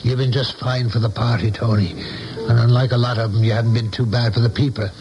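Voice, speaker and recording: An actor in a 1970s radio play, playing an older saloon keeper who is folksy, shrewd and philosophical with a loyal friend. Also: male voice, medium pitch, fairly even intonation, quick pace, close to an everyday voice. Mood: folksy, probing. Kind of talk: 1970s radio drama acting. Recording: dull vintage radio broadcast, music behind.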